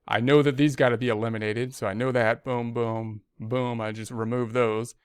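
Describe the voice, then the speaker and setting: A man with a clean, smooth voice teaches in an informal, conversational way. He explains things step by step and tends to restate his points. The sound is dry, with no room echo. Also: medium pitch, little pitch movement, moderate pace. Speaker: accounting instructor. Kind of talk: informal step-by-step tutorial narration.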